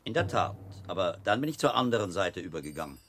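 Deep-voiced, dryly ironic monk. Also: male voice, sing-song, fast, a bit bright, a little hiss or hum, heavily acted. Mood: dry irony. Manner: terse, understated irony. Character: dry, politely sardonic learned male monk. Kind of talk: German radio play acting.

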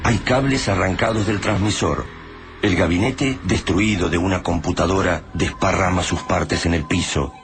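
Deep grave male narrator. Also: over music. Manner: grave, measured. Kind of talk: scripted dramatic narration.

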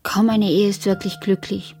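An actress playing an earnest, probing wife with memory loss in a modern radio drama, speaking in measured, matter-of-fact, formal sentences. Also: female voice, medium pitch, little pitch movement, a little mellow, breathy edge, faint music behind. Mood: calm, probing. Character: amnesiac wife and job seeker, earnest, probing, persistent.

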